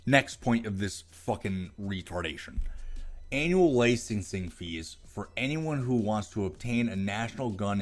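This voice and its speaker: An American man with a fairly low voice reads aloud and reacts in a scornful, sarcastic commentary. He swears freely, and faint music plays underneath. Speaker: video commentator.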